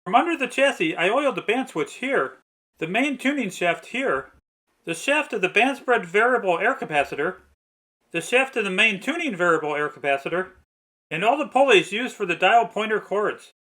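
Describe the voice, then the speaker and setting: A man's voice: high voice, expressive, normal speed, pushed and bright. A man reading a scripted step-by-step restoration narration in precise, methodical phrasing. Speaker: narrator of a radio restoration video.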